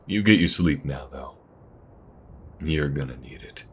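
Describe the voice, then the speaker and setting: Man acting a very deep-voiced, folksy space mechanic. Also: a little slow, sharp tone, slight background noise, heavily acted. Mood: measured. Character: gruff, wary, protective chop shop owner in a space western. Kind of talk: audio roleplay acting, spoken intimately to the listener.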